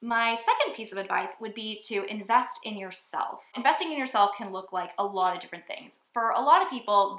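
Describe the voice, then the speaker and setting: A woman's voice: medium pitch, a bit bright, roomy sound. A woman in her twenties with an American accent, giving personal advice to viewers in a vlog. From United States. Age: 20s. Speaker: vlogger.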